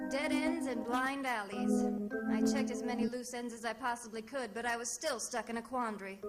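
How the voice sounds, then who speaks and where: A woman playing a sassy, quick-witted private detective in 1940s radio drama style, narrating with wry, breezy wisecracks. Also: on the high side, lightly acted. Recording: noisy old radio recording.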